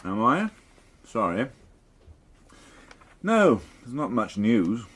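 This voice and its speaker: An actor playing a gloomy, middle-aged, dry-witted police inspector in a naturalistic radio drama. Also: male voice, medium pitch, very animated, drawn-out words, fairly bright tone, husky, heavily acted. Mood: distracted, subdued. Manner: grumbling, understated, dryly sardonic.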